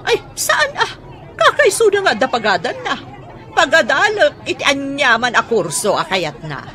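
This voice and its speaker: Sharp, nagging mother. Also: high-pitched, huge pitch swings, normal speed, some chatter behind, heavily acted. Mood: surprised, defensive. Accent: northern Philippine. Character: exasperated, nagging mother of a student son. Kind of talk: radio drama acting.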